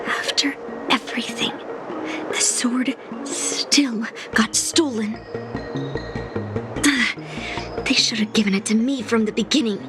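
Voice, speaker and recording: A woman's voice: on the high side, slow, long pauses, in a whisper. A woman playing a stubborn, sulky young girl sword hunter in a video game, blunt and grumbling. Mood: sulky frustration. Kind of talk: scripted game dialogue. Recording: background music under the voice.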